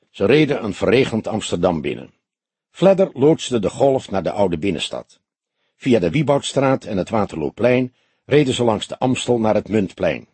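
A man narrating a crime novel as an audiobook in an expressive storytelling style. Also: lowish voice, breathy edge, no echo.

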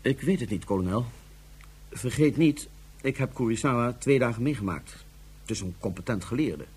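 An actor playing a composed, calculating ruler on Mars who speaks formally and deliberately, with small hesitations, in a radio drama. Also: male voice, medium pitch, lively intonation, a little mellow, breathy edge. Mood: thoughtful, commanding. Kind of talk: Dutch science fiction radio drama acting.

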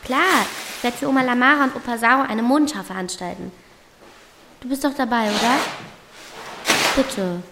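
High-voiced brash teenage girl, acted by a woman. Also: normal speed, pauses often, background noise, lightly acted. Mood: eager. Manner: pushy, snappy, slangy. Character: defiant thirteen-year-old girl, brash, restless, rebellious war-village child. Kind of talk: German radio play acting.

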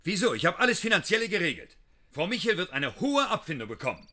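A man's voice: sits high, big pitch swings, fast talker, bright, forceful tone, exaggerated voice. An actor playing a defensive middle-aged politician and family man in a naturalistic radio drama, dismissive and exasperated. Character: defensive career politician, husband and father.

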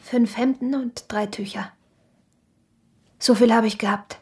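A woman acts a proud young shop girl in a radio drama, brusque yet wounded. Her voice sits somewhat high, reproachful and plain-spoken, sinking into hushed murmurs. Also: steady tone, unhurried, close to an everyday voice. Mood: bitter, grieving.

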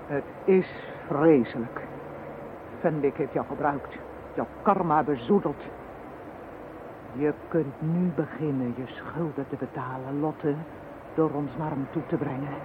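An actor playing a stern, accusing man in a Dutch radio drama, speaking solemnly and deliberately. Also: fairly high pitch, natural voice. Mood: accusing, grave. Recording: muffled narrow-band phone-like audio, noisy.

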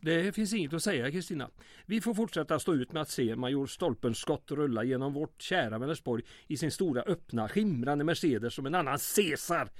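A man's voice: a little high, animated, no echo, clean studio sound, lightly acted. A man playing a weary, sardonic small-town mayor in an old-time radio drama, with formal, wordy sarcasm. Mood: gloomy, resigned.

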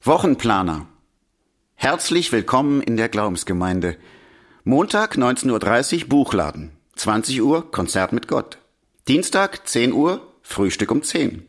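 A man's voice: medium pitch, very animated, unhurried. A man reading scripted announcements as a church announcer, listing items in a singsong way. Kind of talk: scripted announcement of weekly schedule.

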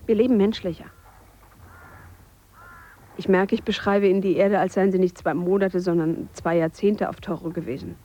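An actress playing an earnest, reflective Swiss woman biologist who speaks a measured monologue in a radio play. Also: fairly low pitch, steady tone, warm tone, dull audio, natural voice. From Switzerland. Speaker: biologist character. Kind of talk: radio drama monologue, spoken letter.

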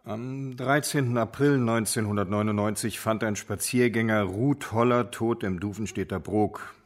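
An actor playing a sober lead homicide investigator, measured and matter-of-fact, in a radio drama. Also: male voice, on the low side, steady tone, natural voice. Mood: sober, factual.

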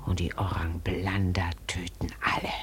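A woman acting a grim, foreboding bearer of bad tidings in a German radio drama, with a gravelly, breathy whisper and an ominous, fatalistic manner. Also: very deep voice, flat delivery, normal speed, exaggerated voice. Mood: ominous, grieving.

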